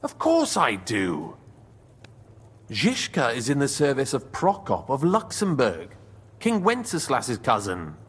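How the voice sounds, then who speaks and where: Sardonic, canny medieval lord for a game drama; slow, deliberate, theatrical, widely varied intonation. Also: male voice, medium pitch, huge pitch swings, breathy edge, full character voice. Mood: wry, surprised. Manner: wry, theatrical. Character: wry, shrewd male medieval nobleman in hiding. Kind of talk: dramatic video game dialogue.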